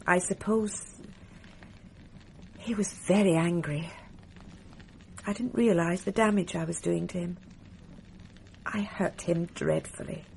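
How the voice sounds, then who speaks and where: An actress playing a married woman in a radio drama who tells a policeman, softly and confidingly, about a past affair. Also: fairly low pitch, vocal fry, slight background noise. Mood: earnest. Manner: soft, confiding, wistful.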